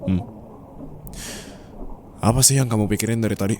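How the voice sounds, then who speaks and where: Over faint background ambience, a low-pitched male boss acted out by a man speaks in an intimate ASMR roleplay. He is possessive and teasing, commanding yet forgiving. He talks close to the listener, coaxing and pressing with short prompting questions. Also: moderate pace, warm tone, a little hiss or hum, close to an everyday voice. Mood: teasing, impatient.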